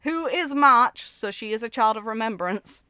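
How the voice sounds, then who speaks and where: A woman's voice: a little high, animated, normal speed, pushed and bright, smooth, no echo. A woman creating a gaming video, reading story text aloud and adding casual commentary.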